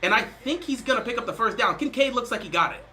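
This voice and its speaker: A young man doing casual play-by-play commentary on a live stream, excitable, calling each play and then chatting loosely. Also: extremely high pitch, quick pace. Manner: excitable, calls plays then chats loosely.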